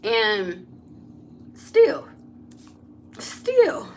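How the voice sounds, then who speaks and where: A woman's voice: fairly high pitch, huge pitch swings, very slow, slight background noise. A woman, a tarot reader, telling a personal story to her viewers in a blunt, candid way, swearing freely and talking straight to the audience.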